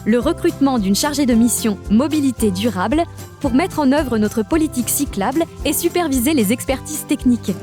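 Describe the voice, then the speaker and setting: A woman from a regional nature park staff, giving upbeat scripted narration for an animated explainer. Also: high voice, music in the background. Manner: upbeat, informative, lists facts briskly.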